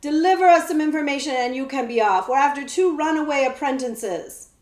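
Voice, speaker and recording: A woman's voice: high-pitched, fairly quick, a little mellow, pitched above the performer's own voice, close to an everyday voice. A woman reading a children's storybook, voicing a big, angry, greedy male outlaw with a snarling, rustic way of talking. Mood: loud, demanding. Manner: bellowing, snarling, rustic slangy speech. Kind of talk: children's storybook read-aloud. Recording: echoey room.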